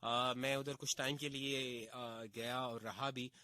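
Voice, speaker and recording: A man from Pakistan hosting a casual radio show, reading out listener names and messages with a slightly husky on-air sound. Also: medium pitch, flat delivery, dry recording. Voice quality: sounds hoarse on air though he says his throat is fine. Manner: chatty, warm greetings, drawn-out filler pauses. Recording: FM radio broadcast with faint music bed.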